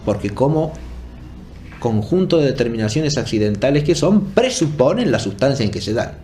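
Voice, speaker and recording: A man giving an explanatory academic lecture off the cuff, deliberate and often checking for agreement with short tag questions. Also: mid-range voice, big pitch swings, warm tone, soft background music. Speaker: philosophy lecturer.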